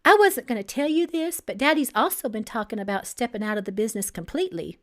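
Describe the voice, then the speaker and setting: Storybook bride-to-be played by a woman reader: young, pampered, high-pitched, flustered, coaxing, very animated. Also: quick pace, natural voice. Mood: wheedling. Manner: flustered, stammering, pleading. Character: pampered, wheedling young bride-to-be, nervous and manipulative.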